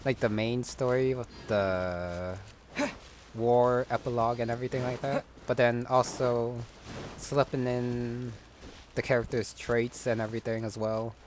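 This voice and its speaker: Low-key man doing game commentary, noisy background. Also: little pitch movement, measured pace, darkish tone. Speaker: gamer commentator. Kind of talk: casual gameplay commentary. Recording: clear background noise, game ambience.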